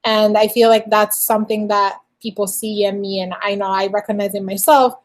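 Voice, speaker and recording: A young woman with a foreign accent hosting a casual interview, informal and halting as she works her way into questions. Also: medium pitch, little pitch movement. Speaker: interviewer, show host.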